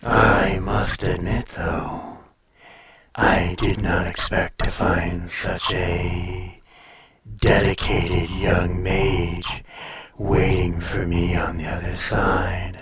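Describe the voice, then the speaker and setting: A man playing an ancient, proud dragon in a fantasy audio roleplay, speaking formally and deliberately with a calm menace. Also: low voice, over a noisy crowd. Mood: impressed, measured. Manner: slow, formal, deliberate speech with long pauses. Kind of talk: scripted fantasy audio roleplay, addressed to listener.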